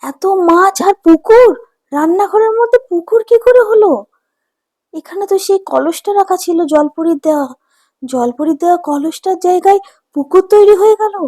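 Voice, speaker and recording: A homely cartoon village mother, played by a woman, speaks high and emphatic. She is devout, full of wonder and easily astonished by things. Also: lively intonation, normal speed, dark tone. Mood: astonished, urgent. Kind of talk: cartoon voice acting.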